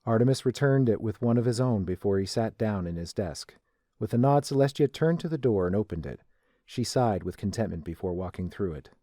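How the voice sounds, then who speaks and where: A man's voice: fairly low pitch, expressive, a little fast, dark tone, dry recording. A man narrating a scripted story as the narrator. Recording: dry voice with music behind.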